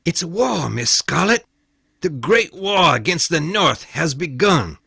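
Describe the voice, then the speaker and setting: A woman's voice: deep voice, very animated, slow, vocal fry, pushed and bright, husky, dry recording, exaggerated voice. A woman voicing an earnest, breathless young man, eager and sincere. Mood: urgent.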